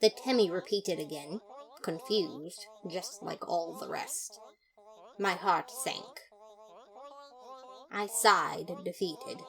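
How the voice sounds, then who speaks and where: A woman reading scripted first-person fiction in a dramatic, expressive narrator's manner. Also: fairly low pitch, low-quality recording. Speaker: podfic narrator.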